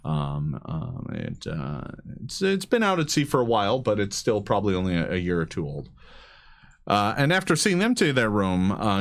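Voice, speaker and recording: A man narrating a tabletop game as game master, improvising haltingly and often repeating his words. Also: on the low side.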